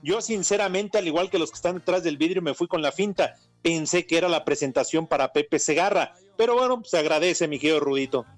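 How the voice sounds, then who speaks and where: A man co-hosting a sports talk show, needling his colleagues with playful jokes. His voice sits a little high, with soft music in the background. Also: fairly quick, hardly any pauses. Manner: playful teasing.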